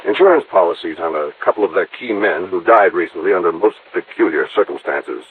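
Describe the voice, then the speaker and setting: A man's voice: low-pitched, expressive, a little fast, breathy edge, narrow, tinny audio, exaggerated voice. An American man playing an earnest, businesslike insurance official in an old radio drama, explaining things carefully. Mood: concerned, insistent. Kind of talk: mid-century American radio drama acting. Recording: band-limited old radio recording.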